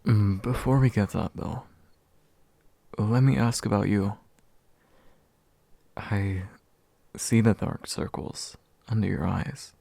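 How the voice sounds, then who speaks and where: A man playing a courteous, gentle demon in a soft ASMR roleplay, politely formal and a little hesitant. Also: lowish voice, fairly even intonation, slow, vocal fry, pauses often, no echo, full character voice. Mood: concerned, tender. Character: courteous, gentle male demon offering comfort to his summoner. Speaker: ASMR voice actor.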